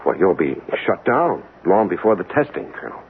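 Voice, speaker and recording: Earnest investigator, animated, lowish voice. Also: male voice. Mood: alarmed, insistent. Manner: earnest, questioning, halting. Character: earnest, skeptical male weapons investigator, principled and insistent. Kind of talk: 1970s radio drama acting. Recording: narrow-band, hissy 1970s radio recording.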